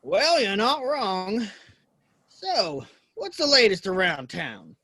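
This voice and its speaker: An earnest American cowboy in a Western audio play, performed by a man. His voice is high and bright and moves around a lot. He is folksy and a bit hesitant, shy but stubborn. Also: wildly swooping pitch, slow pace, dry recording, exaggerated voice. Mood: probing. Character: earnest, plain-spoken cowboy, shy yet defiant.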